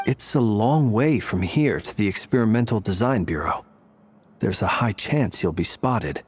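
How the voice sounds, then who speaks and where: A man playing a calm, formal, cautious ally in a video game. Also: on the low side, moderate pace, slightly rough. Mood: calm, grave.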